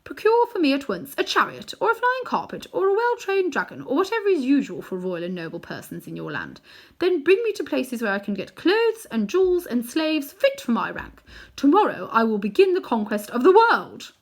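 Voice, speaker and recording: Cold bossy witch queen from a storybook, woman doing the voice, loud and scornful. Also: high-pitched, wildly swooping pitch, voice pushed up, exaggerated voice. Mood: scornful commanding. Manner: commanding, scornful, slow and forceful.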